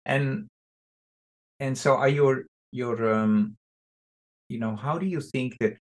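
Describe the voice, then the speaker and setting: A man hosting a podcast interview, asking halting questions full of restarts and fillers. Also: mid-range voice. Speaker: podcast host.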